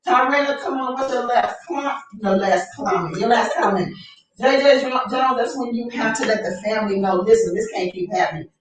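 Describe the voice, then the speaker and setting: A woman's voice: fairly high pitch, moderate pace, far from the mic, slight background noise. A woman hosting a casual talk radio show, reading listener comments aloud and greeting viewers in a playful way. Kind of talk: casual chat. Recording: echoey, heard from off mic.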